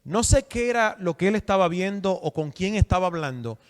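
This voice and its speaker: A man's voice: high voice, animated, fairly quick. A man from the Republic, preaching a sermon and putting questions to his listeners. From Dominican Republic. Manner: asks the audience questions, emphatic. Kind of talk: sermon teaching. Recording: long hall reverb.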